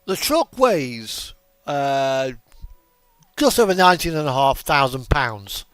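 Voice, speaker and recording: A man giving casual gameplay commentary as host of a truck simulator video, rambling and halting with trailing asides. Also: medium pitch, very animated, fairly bright tone, clear, resonant tone, dry recording, clean studio sound.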